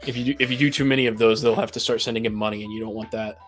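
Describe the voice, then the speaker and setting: A man's voice: on the low side, fairly even intonation, rapid-fire, a bit bright, faint music behind. A man co-hosting a casual group podcast chat, explaining and giving advice with dry teasing. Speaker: podcast co-host.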